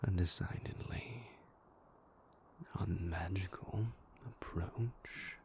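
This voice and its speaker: A man playing a moody, tender vampire lover in an intimate audio roleplay, speaking breathily in formal, measured phrases. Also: sits low, darkish tone, full character voice. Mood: tender, guarded. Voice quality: breathy, whispery.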